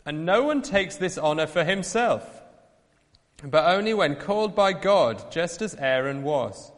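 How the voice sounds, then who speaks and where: A man reading scripture aloud in church, slow, solemn and lilting. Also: on the high side, animated, in a large hall. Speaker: Bible reader.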